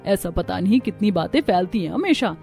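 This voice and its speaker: A woman's voice: medium pitch, lively intonation, fairly quick, vocal fry, a little mellow, soft background music, pitched below the performer's own voice. A woman voicing a sensible, skeptical young village daughter-in-law in a cartoon, matter-of-fact and reassuring. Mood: calm skepticism. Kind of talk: Hindi moral-story cartoon dubbing.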